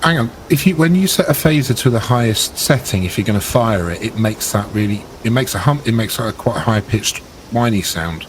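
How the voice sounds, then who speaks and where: A man's voice: lowish voice, animated, fairly quick, a little hiss or hum. A man playing in a tabletop game, thinking his plans aloud with many restarts. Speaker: player. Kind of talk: casual tabletop play.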